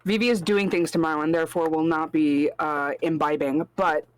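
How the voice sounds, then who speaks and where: A woman co-hosting a game stream, chatty and relaxed, thanking viewers and rattling through her intro. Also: sits low, brisk. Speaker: streamer, co-host. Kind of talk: casual game stream chat.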